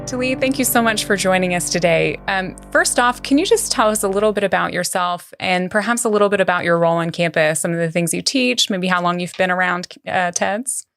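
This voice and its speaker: A woman's voice: on the low side, fairly quick, vocal fry, few pauses, a bit bright, faint music behind. A woman co-hosting an academic podcast, giving introductions and asking interview questions.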